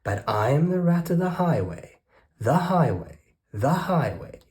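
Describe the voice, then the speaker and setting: A storyteller voicing a greedy, bullying male highway rat who bellows and boasts in a children's storybook. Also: sing-song, measured pace, darkish tone. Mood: menacing. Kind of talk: storybook read-aloud.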